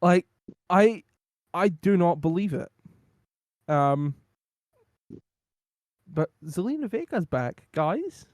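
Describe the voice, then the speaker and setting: A man co-hosting a podcast and chatting casually, rambling with lots of ums, his voice going hoarse. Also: high-pitched, expressive, slow pace, long pauses, darkish tone, dry recording, studio quality. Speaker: podcast co-host. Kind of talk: casual wrestling recap chat.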